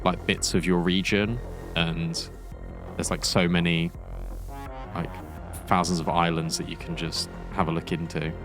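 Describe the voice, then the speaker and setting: A male esports caster with a lowish voice, talking through plays in a relaxed, thinking-aloud way. Faint music plays underneath. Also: measured pace, long pauses. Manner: conversational, repeats words as he thinks aloud. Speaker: esports commentator. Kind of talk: live game commentary and casual chat.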